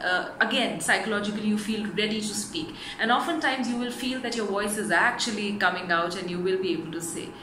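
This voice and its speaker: A woman giving instructional advice to viewers as a communication coach, stressing key phrases emphatically. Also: medium pitch. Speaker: communication coach and video host. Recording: roomy echo, clear background noise.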